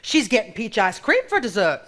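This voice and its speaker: A woman's voice: fairly high pitch, big pitch swings, moderate pace, fairly bright tone. A woman acting in a live stage play as a wry, knowing small-town woman of the 1950s. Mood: wry amusement. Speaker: stage actor.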